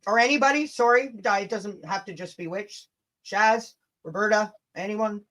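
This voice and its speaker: A woman's voice: mid-range voice, moderate pace, a bit bright. A woman hosting a casual livestream rant, blunt, combative and sweary, with chatty asides to her viewers. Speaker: livestream host.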